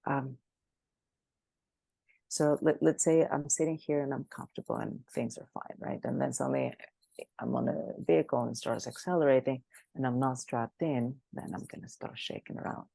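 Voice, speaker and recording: A woman speaking as the expert guest in an interview, animated and emphatic, often restarting her sentences. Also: rumbling low, normal speed, vocal fry, breathy edge, dry recording. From Greece. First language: Greek. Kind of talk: conversational interview.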